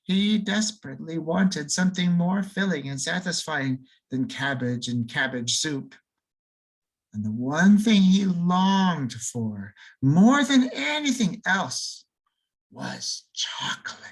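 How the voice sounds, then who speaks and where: Expressive man reading a children's story. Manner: expressive, lingers and slows on key words for effect; swings pitch and loudness freely for emphasis. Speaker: audiobook reader.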